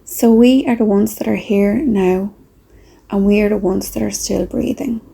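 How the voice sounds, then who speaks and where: A woman speaking softly and reflectively with pauses, in a breathy, husky voice, as she leads a gentle guided meditation. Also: medium pitch, steady tone, rough recording. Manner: slow, soft, reflective, with pauses.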